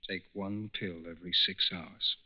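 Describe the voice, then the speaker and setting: An actor playing an inquisitive, businesslike agent asking short probing questions, in 1940s radio style. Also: male voice, sits low, steady tone, normal speed, dull audio, close to an everyday voice. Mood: intent. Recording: very noisy band-limited 1940s radio recording.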